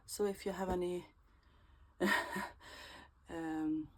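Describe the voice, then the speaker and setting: A woman from Sweden with a Swedish accent, chatting casually in a crafting vlog, unhurried and full of ums and restarts. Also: on the low side, steady tone, dark tone. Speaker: junk journal creator.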